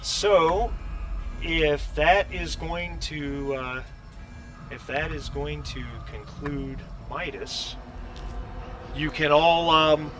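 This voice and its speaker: A man narrating a tabletop game as game master in a halting, conversational way, often correcting himself as he improvises. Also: a little high, fairly bright tone, faint music behind.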